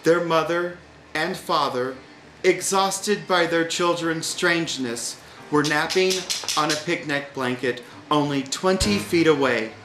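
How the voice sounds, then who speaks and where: A man reading a children's story as scripted narration, with a wry, deliberate delivery. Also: a little high. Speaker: audiobook reader. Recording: roomy sound with music behind.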